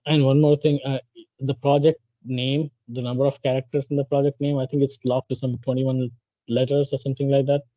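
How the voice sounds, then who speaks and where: A man on staff speaking in an informal work meeting, halting and polite, repeating phrases as he goes. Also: mid-range voice, flat delivery, no room sound, dull audio. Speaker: staff member in a training meeting.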